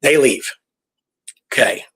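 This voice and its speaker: A man co-hosting a radio show, telling a historical story informally, with halting delivery and phrases repeated for emphasis. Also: fairly bright tone.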